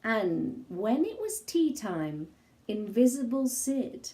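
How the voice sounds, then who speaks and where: Woman storyteller, high pitch, mellow tone, dramatic pauses, children's book narration. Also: very animated. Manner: measured, dramatic phrasing with pauses. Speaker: storybook reader.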